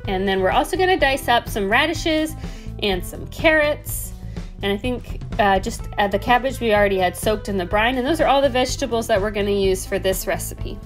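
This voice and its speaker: A woman's voice: fairly high pitch, fairly quick, faint music behind. A woman in her thirties, a homesteading host, chatting through recipe steps in a home kitchen. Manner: chatty step-by-step explaining. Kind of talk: casual cooking voice-over.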